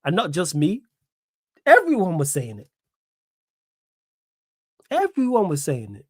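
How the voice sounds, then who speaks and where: A man's voice: high-pitched, wildly swooping pitch, unhurried, no room sound, studio quality. Indignant Mancunian man streaming live. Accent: Manchester. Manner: indignant, repeats phrases, reads chat aloud. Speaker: livestream host. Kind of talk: live stream chat reaction.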